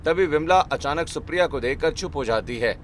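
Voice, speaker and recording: A man giving scripted narration for an animated family story as its storyteller. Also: on the high side, a little fast, a little hiss or hum.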